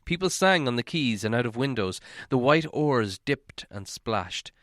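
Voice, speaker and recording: A man reading a story aloud as narration, in a measured, dramatic storytelling manner. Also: medium pitch, animated, a bit bright, no room sound, clean studio sound. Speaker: audiobook reader.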